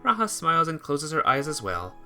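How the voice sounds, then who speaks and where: Bright, animated visual novel narrator. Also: medium pitch, fairly quick, soft background music. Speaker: amateur visual novel reader. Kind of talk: expressive story narration.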